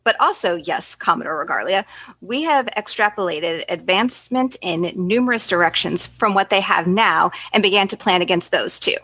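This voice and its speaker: Bright, husky, feisty female space commander, acted. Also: lowish voice, no echo. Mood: confident, brisk. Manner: brisk, confident, quick to bristle. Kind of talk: comedy sci-fi radio acting.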